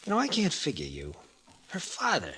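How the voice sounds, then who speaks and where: An actor with an American accent playing a slippery but lovestruck racetrack tout in old-time radio style, slangy and hesitant, with a husky voice. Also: male voice, a little high, huge pitch swings, moderate pace, sharp tone, heavily acted, low-quality recording. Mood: earnest, exasperated.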